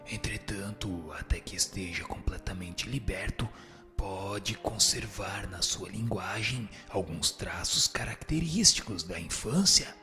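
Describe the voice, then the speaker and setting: A man voicing a deep, solemn spirit teacher. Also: pushed and bright, faint music behind. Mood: solemn, instructive. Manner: didactic, measured, formal. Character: solemn male spirit answering questions. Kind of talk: scripted radio drama reading.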